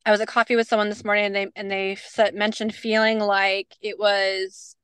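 A woman co-hosting a podcast with a smooth, clear voice, recorded close and dry. She talks in a bubbly, rambling way full of "like" and "um", then slows down to guide a breathing exercise. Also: mid-range voice, dry recording. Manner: bubbly, lots of fillers, leads a calm breathing exercise. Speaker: podcast co-host. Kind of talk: casual chat.

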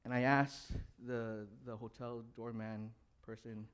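A man's voice: medium pitch, fairly even intonation, unhurried, smooth. A young adult man, a pastor whose first language was Cantonese, preaching a conversational sermon and putting questions to the congregation. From grew up in an English-speaking country.

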